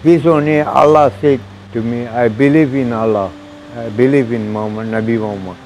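An older man in his sixties speaks in Malaysian-accented English, earnest and plain, circling back over his words. His voice sits at an ordinary middle pitch and is caught close on a lapel mic. A faint hum of street traffic lingers behind him in the open walkway. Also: steady tone, fairly quick, darkish tone, low-quality recording. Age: 60s. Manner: broken English, earnest and repetitive. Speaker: interviewee. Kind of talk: personal testimony. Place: arched outdoor walkway of a mosque.

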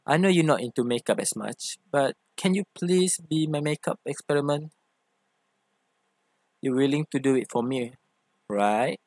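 A man's voice: on the high side, no echo. A man playing an affectionate, theatrical young boyfriend who teases and coaxes in an ASMR roleplay. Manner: theatrical, teasing, coaxing. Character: playful, affectionate, teasing young femboy boyfriend.

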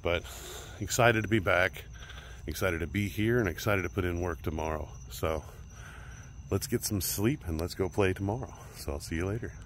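A man in his forties with a fairly low voice, chatting loosely about his trip into a camera held at arm's length. His talk is relaxed and rambling. There is a light hum of traffic and outdoor air behind him. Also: moderate pace. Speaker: vlogger. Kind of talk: casual road-trip vlog. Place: outdoors and inside a car. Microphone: phone or action camera at arm's length. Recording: faint outdoor and traffic noise.

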